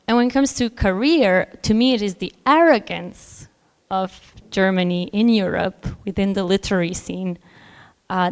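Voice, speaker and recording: A Bosnian woman novelist answering on a festival panel, thoughtful and hesitant, with many pauses and small laughs between points. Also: mid-range voice, darkish tone. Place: festival hall with long reverb. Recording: hall reverb, live panel.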